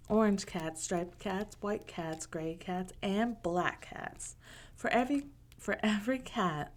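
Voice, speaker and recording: A woman reading a picture book aloud to children in a gentle, unhurried way, with casual asides. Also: on the low side. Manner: gentle, unhurried, pausing between short phrases.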